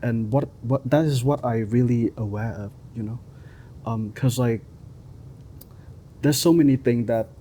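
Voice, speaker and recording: A man talking as a filmmaker guest on an interview podcast, hesitant, filling pauses with "like" and "um" and loose grammar. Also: medium pitch, dark tone, slight background noise.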